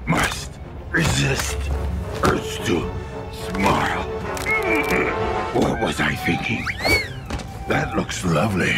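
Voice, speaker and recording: An actor voicing a tyrannical alien overlord in a video game cutscene, with a deep gravelly voice. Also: male voice, big pitch swings, slow, pauses often, over loud music, exaggerated voice. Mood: struggling. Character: tyrannical alien overlord turned giddy and sweet.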